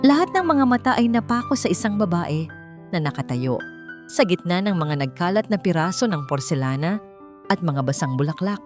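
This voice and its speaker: A woman's voice: on the low side, animated, moderate pace. A woman storyteller reading a scripted, dramatic tale as narration. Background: music.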